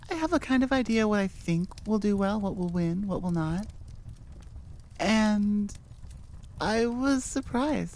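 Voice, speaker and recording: A woman's voice: mid-range voice, darkish tone. A woman who makes audio roleplays, chatting informally and without a script to her listeners in a rambling way. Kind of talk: casual unscripted chat to listeners.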